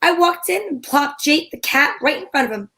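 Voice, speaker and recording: Female gumshoe actress, high voice, cheeky and wisecracking, slangy noir delivery for vintage-style radio detective drama. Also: animated, moderate pace, pushed and bright. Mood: wry narrating. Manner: wry, slangy hardboiled delivery. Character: wisecracking female private eye, cheeky and flirtatious, narrating her case.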